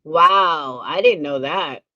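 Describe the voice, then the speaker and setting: A woman's voice: low voice, takes it slowly, sharp tone, highs cut off. A woman hosting a livestream, talking sarcastically and emphatically. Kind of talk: livestream commentary.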